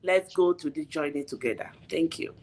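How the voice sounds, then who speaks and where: A woman's voice: low-pitched, moderate pace, a little mellow. Encouraging woman introducing herself. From Cameroon. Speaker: guest. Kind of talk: live chat introduction.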